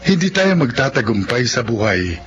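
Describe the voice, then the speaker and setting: A male radio drama host reads scripted narration with formal, dramatic flair and lively swings in pitch. The recording is a little dull, with clear music under the voice. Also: moderate pace.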